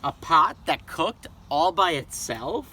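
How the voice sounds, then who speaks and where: Guy reading a kids book out loud, highish lively voice, real dramatic, bit of background noise. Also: takes it slowly. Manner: expressive, dramatic. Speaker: storybook reader. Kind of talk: children's read-aloud narration.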